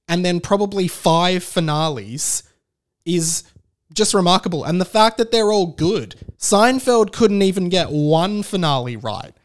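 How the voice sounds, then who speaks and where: Rambling higher-voiced Australian man. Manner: rambling, self-interrupting, emphatic with repetition. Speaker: podcast host. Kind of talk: casual solo podcast chat.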